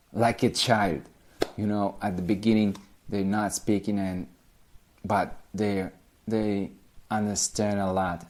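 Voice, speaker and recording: Slow, mellow Polish-accented man. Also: lowish voice. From Poland. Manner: unhurried, repeats himself, simple learner's English with small grammar slips. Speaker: language teacher. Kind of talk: casual talk to camera.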